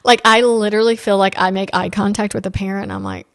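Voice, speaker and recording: A woman's voice: mid-range voice, very animated, fast talker, dry recording, studio quality. A woman in her thirties hosting a casual solo podcast, chatty and candid, with playful asides. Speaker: podcast host.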